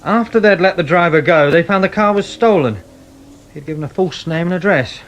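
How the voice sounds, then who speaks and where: An actor playing a matter-of-fact, sardonic British police sergeant in a radio drama. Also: male voice, sits high, a little fast, a bit bright.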